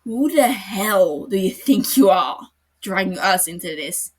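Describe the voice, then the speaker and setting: A woman voicing an angry, blunt adult male detective in a podfic, with loud, scornful delivery. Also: mid-range voice, animated, unhurried, breathy edge. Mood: furious. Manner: furious, scornful, shouting. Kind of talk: podfic character reading.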